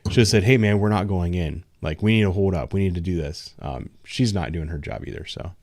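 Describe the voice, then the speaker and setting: Frank low-voiced man, dry close sound. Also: fairly quick, a little mellow, dry recording. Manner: frank, loose, many ums and restarts, occasional laughs. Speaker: patrol officer, show host. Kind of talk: casual livestream discussion.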